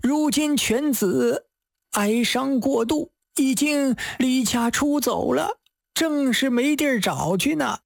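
A woman's voice: high voice, lively intonation, slow pace, creaky, no echo, studio quality, pitched above the performer's own voice, exaggerated voice. A woman voicing a meek old male scholar, plaintive and deferential, drawing out his words in a storytelling performance. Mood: plaintive, aggrieved.

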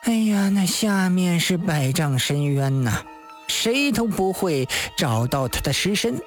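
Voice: medium pitch, big pitch swings, faint music behind. Smug, leisurely old Taoist villain. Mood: gloating. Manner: leisurely, gloating, chuckling, drawn-out interjections.

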